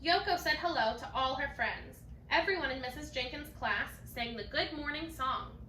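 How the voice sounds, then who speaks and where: High bright lady reading kids bedtime story, expressive, roomy echo, bit far from the mic. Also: moderate pace. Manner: animated, acts out characters' lines. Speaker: storybook reader. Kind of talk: expressive children's bedtime story read-aloud. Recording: roomy, distant mic, faint noise.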